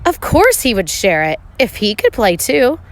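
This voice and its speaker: Animated woman reading a storybook aloud. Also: a little high. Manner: measured storytelling with dramatic pauses and emphasis. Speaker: teacher reading a picture book aloud. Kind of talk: expressive storybook read-aloud narration for children.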